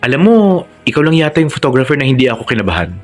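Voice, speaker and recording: A man's voice: medium pitch, animated, racing, soft background music, close to an everyday voice. A Filipino man voicing a shy, charming male model in his early twenties in a romance story. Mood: warm, grateful.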